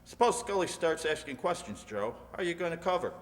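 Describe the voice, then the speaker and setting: A man playing a practical, cautious police detective in a radio crime drama, brisk and businesslike, in an echoey hall. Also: a little high, big pitch swings. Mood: businesslike, doubtful.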